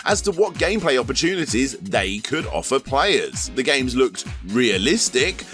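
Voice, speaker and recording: Male host, scripted narration, wry asides, mid pitch, faint music behind. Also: very animated, normal speed, fairly bright tone.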